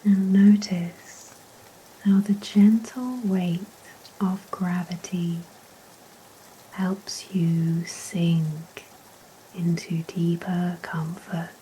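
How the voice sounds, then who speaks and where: A woman with a lowish, very mellow voice leads a guided sleep relaxation. She speaks in a soft, breathy near-whisper, gentle and soothing. Her delivery is extremely slow with long pauses, over a steady bed of rain. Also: a little hiss or hum, low-quality recording. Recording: steady rain sounds behind voice. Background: rain ambience.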